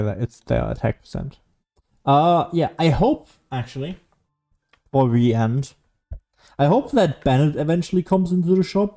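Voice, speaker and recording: A man giving casual solo gameplay commentary as a streamer, rambling and thinking out loud as he goes. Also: fairly high pitch. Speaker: gaming streamer.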